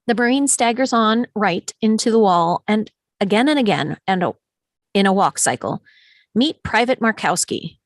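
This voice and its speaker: A woman's voice: mid-range voice, lively intonation, normal speed, no room sound. Woman narrator, very dry sound. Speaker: narrator reading stage directions. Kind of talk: scripted narration at a table read.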